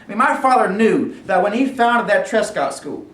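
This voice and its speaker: A woman's voice: mid-range voice, expressive, moderate pace, natural voice. A woman in a staged reading playing a confident, persuasive, calculating son of a Southern governor. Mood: calm, assured. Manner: persuasive, reasoning. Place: live staged reading in a room. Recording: echoey room, distant from microphone.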